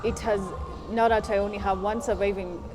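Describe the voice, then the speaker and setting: A woman from Nairobi, answering interview questions in an articulate, measured and earnest way. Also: medium pitch, steady tone, music in the background. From Kenya, Nairobi.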